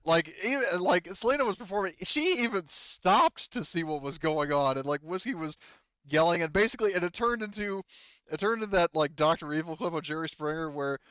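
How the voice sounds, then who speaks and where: Male game master, improvised narration, amused, sweary, fairly high smooth voice, slightly muffled dry recording. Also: animated, a little fast, fairly bright tone, no echo.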